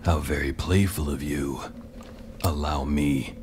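A man's voice: extremely low pitch, fairly even intonation, slow, heavily acted. An actor playing a sinister demonic ninja in a video game, mocking and amused, drawing out his words in menace. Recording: loud noise behind the voice.

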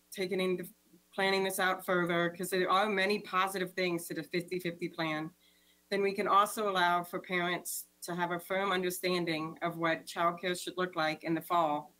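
A woman's voice: fairly low pitch, fairly bright tone, poor audio. Earnest woman on a video call. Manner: earnest, measured, reads from notes. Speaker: school board member. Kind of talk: prepared statement at a board meeting. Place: home room, indoors.

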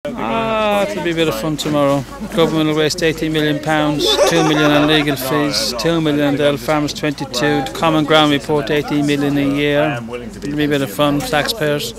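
A man's voice: on the high side, fairly even intonation, moderate pace, few pauses. A middle-aged man talking off the cuff in an outdoor interview, often repeating his words. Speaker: interviewee at a protest site. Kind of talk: spontaneous interview talk. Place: outdoors at night. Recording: outdoor crowd noise behind.

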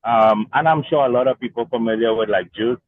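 A man from Trinidad and Tobago, a market vendor, explaining his products in a relaxed, plain way at an outdoor stall. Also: medium pitch, brisk, no echo, narrow, tinny audio. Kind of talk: casual interview over a video link.

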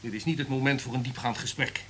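An actor playing an imperious, irritable top executive in a radio drama, lecturing in a domineering, emphatic way. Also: male voice, mid-range voice, fairly even intonation, quick pace, fairly bright tone, a little hiss or hum, lightly acted. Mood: angry, stern.

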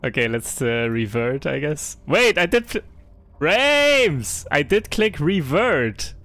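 A man's voice: a little high, big pitch swings, takes it slowly, bright, forceful tone. A young man with a German accent chatting casually through a live game stream, reading and answering viewer chat with frequent hesitations.

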